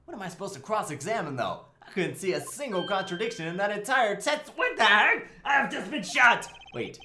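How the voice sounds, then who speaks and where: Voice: mid-range voice, big pitch swings, fairly quick, pushed and bright, slight background noise. A performer voicing a sarcastic, goofy young male defense lawyer in a comic game fandub, wisecracking and theatrical.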